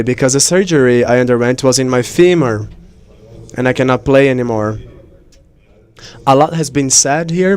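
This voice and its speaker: Clear-voiced male conference interpreter. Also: mid-range voice. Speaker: simultaneous interpreter. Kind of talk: live interpreting of conference speeches.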